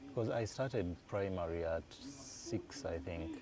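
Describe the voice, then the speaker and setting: A Ugandan man in his forties, answering interview questions in an unhurried, thoughtful way as he recalls dates and places. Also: deep voice, expressive. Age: 40s. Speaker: guest. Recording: noisy room ambience.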